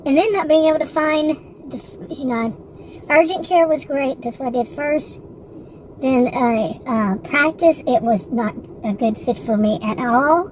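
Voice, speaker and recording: A woman's voice: high-pitched, measured pace, a little hiss or hum, poor audio. A woman in her sixties in a rambling, frustrated casual monologue, with many restarts and asides. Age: 60s. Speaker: vlogger.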